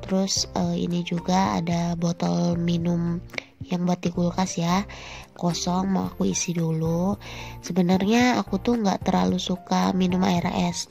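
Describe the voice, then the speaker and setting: A woman's voice: on the low side, creaky, mellow. A young woman with a stuffy, nasal cold voice, narrating her vlog in a chatty way and talking straight to viewers. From Indonesia. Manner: chatty, friendly, addresses viewers directly. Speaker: vlogger and housewife. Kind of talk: casual voice-over vlog narration.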